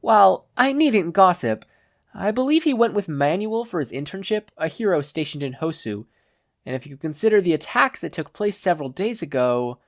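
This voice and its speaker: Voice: mid-range voice, expressive, normal speed, voice pushed up, natural voice. A podfic reader voicing a polite, earnest teenage schoolgirl who speaks formally and properly.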